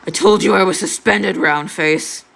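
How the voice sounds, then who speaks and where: A woman voicing an angry, foul-mouthed teenage boy with a gruff, combative manner, as in an audiobook drama. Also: on the low side, unhurried, a bit bright. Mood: defensive anger.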